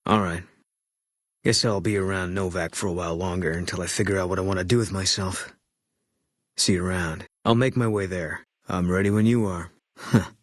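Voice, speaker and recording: An actor playing a weary ex-sniper soldier in a video game, speaking in clipped, flat lines with a husky voice. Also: male voice, low-pitched, moderate pace, dry recording, clean studio sound. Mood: terse. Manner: clipped, flat, few words. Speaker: game companion character. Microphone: dry studio mic.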